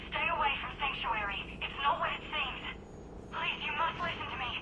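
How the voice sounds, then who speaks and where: A woman's voice: high voice, normal speed, very noisy. An actress playing a frightened young woman sending a warning over a broken transmission. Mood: pleading. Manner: urgent, pleading.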